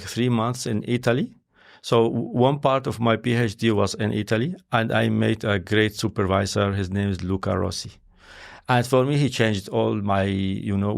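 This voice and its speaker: Interview guest, male, non-native English, gravelly, halting and full of fillers. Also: mid-range voice. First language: not English. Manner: halting, searching for words with many fillers. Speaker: interview guest, scientist and filmmaker. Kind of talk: conversational interview.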